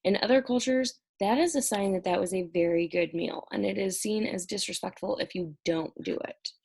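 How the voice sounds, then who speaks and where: Young woman with vocal fry. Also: medium pitch, moderate pace, a little mellow. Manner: conversational, explanatory teaching. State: stressed and short on sleep. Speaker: college instructor. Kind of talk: recorded exam review lecture. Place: at home.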